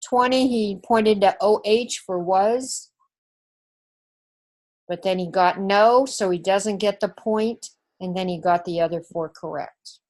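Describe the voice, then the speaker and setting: A woman's voice: mid-range voice, darkish tone. A woman teacher with a New Zealand accent, working through the scoring of a test step by step in an online class. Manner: slow, methodical, talks through scoring step by step.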